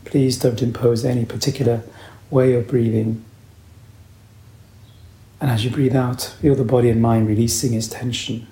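Calm guy leading a meditation, really even pitch, slow soothing instructions, roomy echo. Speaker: meditation teacher. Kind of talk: guided meditation.

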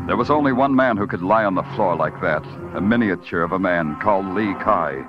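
An actor playing a wry, world-weary New York police detective with an American accent and a deadpan manner in a 1940s radio drama. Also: male voice, low voice, fairly quick, natural voice. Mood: somber, sardonic. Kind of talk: 1940s hardboiled radio acting and narration. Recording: muffled 1940s radio recording with music.

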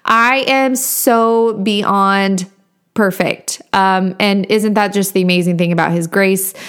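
A woman hosts her own podcast. She keeps it casual and upbeat, talking to her listeners as if they were friends, at an ordinary middle pitch. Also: fairly even intonation, slow pace, fairly bright tone, clear, resonant tone. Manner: chatty, upbeat, addresses listeners as you guys. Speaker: podcast host.

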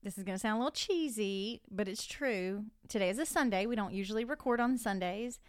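A woman's voice: medium pitch, a little fast, clear, resonant tone. A Southern woman co-hosting a faith podcast. She asks the questions in a playful, giggly way. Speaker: podcast co-host. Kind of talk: casual chat, asking the questions.

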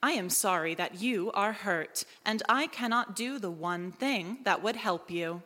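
Bright, echoey woman as a calm ship computer. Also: medium pitch. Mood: gently reassuring. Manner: measured, formal, deliberate. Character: female ship computer, calm, literal, gently caring AI. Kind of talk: live audio drama performance. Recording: echoey live hall, reverberant.

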